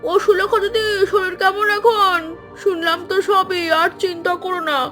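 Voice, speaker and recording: A woman's voice: very high-pitched, steady tone, moderate pace, fairly bright tone, faint music behind. A woman voicing a chatty, eager village matchmaker in a cartoon story. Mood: eager, gossipy. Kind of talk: animated story voice acting.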